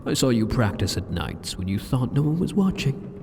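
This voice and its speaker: A sadistic demon king , acted by a man, fills a cavernous, long-reverberating hall with a husky voice. His pitch sweeps up and down as he condescends to the listener with arrogant amusement, stretching out his phrases in theatrical ASMR roleplay style. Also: very animated, moderate pace, mellow. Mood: smug, taunting. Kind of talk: scripted ASMR roleplay monologue addressed to the listener.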